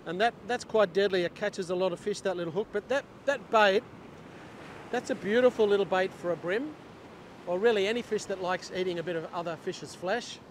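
Aussie bloke, middle-aged, higher voice, walks you through beach fishing step by step, lapel mic with wind and waves in it. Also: male voice, a little fast. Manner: steady step-by-step explaining while working. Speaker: fishing instructor. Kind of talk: hands-on fishing tutorial. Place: open beach by the surf.

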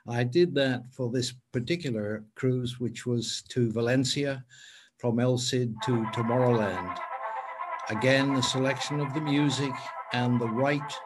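Older male lecturer, husky and measured, webcam presentation. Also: medium pitch. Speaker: cruise lecturer. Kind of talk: presenting his work to camera.

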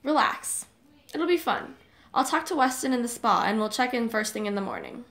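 A woman playing an upbeat, persuasive high school girl in a casual audio drama. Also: lively intonation, moderate pace, fairly bright tone. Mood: eager, coaxing.

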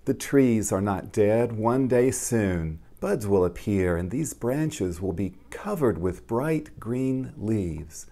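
A man voicing a gentle, reassuring mother mole in a picture book, speaking softly and tenderly with drawn-out words. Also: on the low side, lively intonation. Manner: soft, slow, tender, drawn-out.

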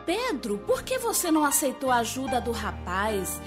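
An actress playing a sensible Brazilian farmer's wife in a radio soap, reproachful and persuasive. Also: female voice, high voice, huge pitch swings, normal speed, over music. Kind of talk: radio soap opera acting.

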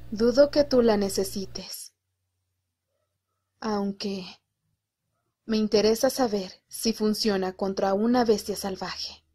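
A woman playing a cool, aloof teenage girl soldier with a dry, measured manner in an amateur Latin American Spanish anime fan dub. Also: medium pitch, warm tone.

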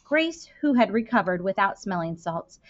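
A woman in her thirties reading a children's novel aloud expressively, with deliberate phrasing and dramatic stresses. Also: medium pitch, big pitch swings. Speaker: school librarian reading aloud. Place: indoor room. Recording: dull, lo-fi webcam-style sound.

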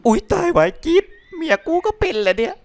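A man voicing an excitable cartoon farmer husband with shrill, melodramatic, wailing exclamations. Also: fast. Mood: horrified.

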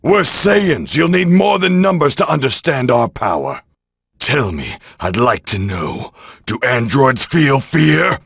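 A proud, battle-hungry alien prince for an anime game. The voice is badly rasped and gravelly, swinging widely in pitch. The delivery is haughty and taunting, recorded very dry. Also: male voice, sing-song, takes it slowly, fairly bright tone, no room sound, full character voice. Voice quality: gravelly, raspy. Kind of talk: dubbed anime video game acting.

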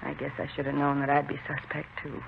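Subdued middle-aged widow, 1950s radio crime drama; gravelly tone, faint hiss. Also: female voice, low-pitched, completely flat delivery, fast talker. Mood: wistful, resigned. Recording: muffled, hissy 1950s radio recording.

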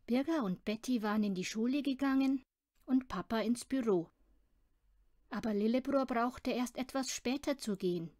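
A woman's voice: a little high, normal speed, darkish tone, no room sound, clean studio sound. A woman narrating a children's audiobook from a script. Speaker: audiobook reader.

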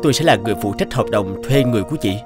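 A man's voice: fairly low pitch, lively intonation, rapid-fire, close to an everyday voice. A man voicing a polite, businesslike adult in a cartoon, speaking courteously. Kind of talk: cartoon dubbing. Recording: music behind the voice.

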